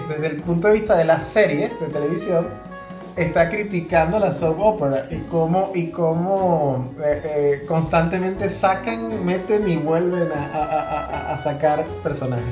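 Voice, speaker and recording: A man's voice: fairly high pitch, normal speed. Venezuelan guy chatting on a podcast, explains stuff, repeats himself, roomy echo, music going behind. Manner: explanatory, hesitant, often repeats words. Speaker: podcast co-host. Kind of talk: casual conversational commentary.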